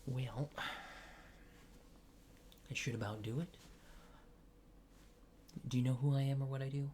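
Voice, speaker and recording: A man doing a soft-spoken ASMR roleplay, hushed and often near a whisper, with hesitant, polite, clinical phrasing. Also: mid-range voice, steady tone, measured pace, slight background noise. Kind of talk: soft-spoken ASMR roleplay as an alien abductor examining a subject.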